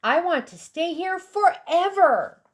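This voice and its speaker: A woman's voice: very high voice, expressive, slow, voice pushed up, heavily acted. A woman voicing a contented, house-proud mother bird in a children's storybook, emphatic and sentimental. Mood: delighted.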